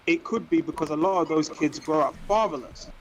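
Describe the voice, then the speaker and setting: A man talks quickly and pressingly, pushing back hard in a heated panel debate. His voice sits a bit high for a man, and he often repeats words as he holds his ground. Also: slight background noise, rough recording. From West Africa. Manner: insistent, defensive, repeats himself. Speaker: panel guest.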